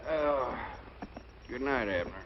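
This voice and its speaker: A man's voice: medium pitch, animated, slow pace. A noisy, muffled old radio recording of a folksy country fellow with a Southern drawl. He is stubborn and naive, with homespun turns of phrase and a voice that swings freely up and down. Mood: weary, friendly. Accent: Southern American. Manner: rustic drawl with homespun expressions. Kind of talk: 1940s radio comedy acting.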